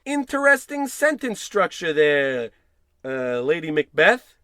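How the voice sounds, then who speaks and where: A man's voice: on the high side, very animated, slow, sharp tone, no room sound, studio quality, heavily acted. A man playing a paranoid, unraveling husband in old-time radio suspense style, theatrical, brooding and sardonic. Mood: accusing, heated. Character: paranoid husband unraveling over a piggy bank.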